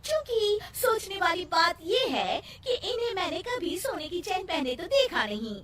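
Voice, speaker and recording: A woman's voice: very high voice, very animated, normal speed, a bit bright, heavily acted. A woman voicing a cheeky, long-winded young daughter-in-law in a cartoon story, rambling in circles with mock modesty. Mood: chatty, eager. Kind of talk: Hindi cartoon moral story acting. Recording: distant mic, faint noise.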